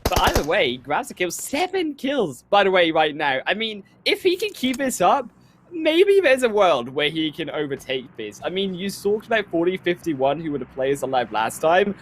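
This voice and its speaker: A man calling an esports match play-by-play, building excitement around the action. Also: high-pitched, moderate pace, a little hiss or hum. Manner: energetic, builds excitement on kills. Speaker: esports caster.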